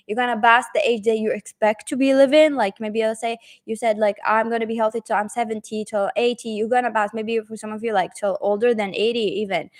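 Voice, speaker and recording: A woman giving a pick-a-card tarot reading straight to the viewer. She rambles and circles back, full of fillers and restarts, and speaks in a reassuring, direct way. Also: on the high side. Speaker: tarot reader.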